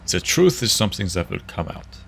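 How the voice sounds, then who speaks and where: A man playing a guarded, matter-of-fact investigator in a sci-fi audio drama, with a dry, measured delivery. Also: fairly low pitch, sing-song, gravelly, slight background noise. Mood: aloof.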